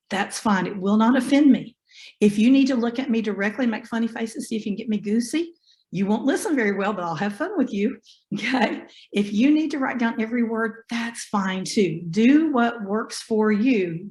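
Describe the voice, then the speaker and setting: A hoarse woman with a slightly high voice teaches an online class over a video-call mic, folksy and encouraging. She keeps asking her students questions. The sound is roomy and echoey. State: hoarse from bronchitis. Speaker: online business instructor. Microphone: computer mic on a video call.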